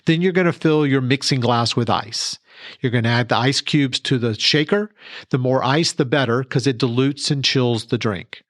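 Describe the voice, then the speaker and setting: A man's voice: medium pitch, normal speed, no room sound, clean studio sound. A man reading a scripted instructional segment as a podcast reporter.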